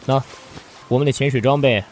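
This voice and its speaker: An actor playing a lively young man who loves diving and also narrates in a Chinese audio drama. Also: mid-range voice, brisk, lightly acted. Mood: cheerful, eager.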